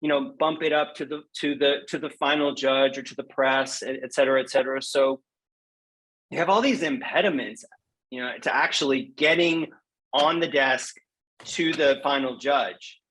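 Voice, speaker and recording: A man's voice: medium pitch, moderate pace, fairly bright tone, rough recording. A man speaking as a poet guest in a casual podcast interview, frank and emphatic, repeating phrases for stress.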